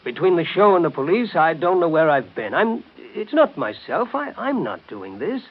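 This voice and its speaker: An actor playing a regretful, defensive theatrical producer, earnest and hesitant, in a 1930s radio soap. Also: male voice, on the high side, big pitch swings, normal speed. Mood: strained, defensive. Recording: muffled narrow-band 1930s radio broadcast.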